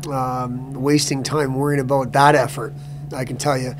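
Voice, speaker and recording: Halting middle-aged coach at a press conference. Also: male voice, mid-range voice, little pitch movement, background noise. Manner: halting, repeats words, many restarts. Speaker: hockey coach. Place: press room before a sponsor backdrop.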